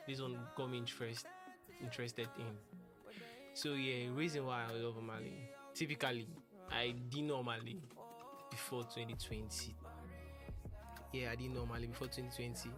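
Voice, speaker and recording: A man's voice: medium pitch, little pitch movement, normal speed, pauses often, over music. A young man, talking casually and earnestly about a musician he admires, rambling and restarting his sentences. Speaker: music producer. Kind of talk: casual talk to camera.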